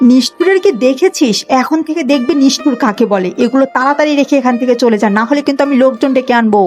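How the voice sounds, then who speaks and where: A woman's voice: sits high, quick pace, a little mellow, faint music behind, close to an everyday voice. A woman voicing a cruel, haughty village auntie in a cartoon, scolding with contempt and threats. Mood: menacing. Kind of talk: Bengali cartoon moral-story voice acting.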